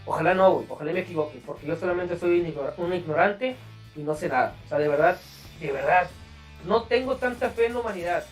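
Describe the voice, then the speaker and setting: A man's voice: sits high, fast, far from the mic, soft background music. A man with a Mexican accent hosting a podcast in a casual, slangy, profane way. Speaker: podcast host.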